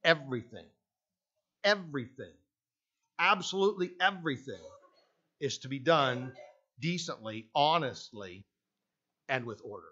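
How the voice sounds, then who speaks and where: A man's voice: medium pitch, expressive, unhurried, long pauses, pushed and bright, highs cut off. An older Canadian man preaching a sermon in a church sanctuary, talking conversationally with restarts and frequent "right?" asks, pacing around a podium microphone. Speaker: pastor. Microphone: podium mic, speaker often walking away from it.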